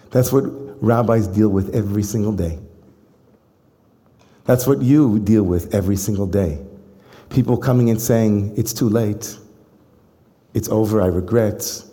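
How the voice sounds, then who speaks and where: Man preaching in a reverberant hall. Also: fairly low pitch. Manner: storytelling, rising with emphasis then slowing to soft reflective phrases. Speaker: rabbi. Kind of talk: sermon to a congregation.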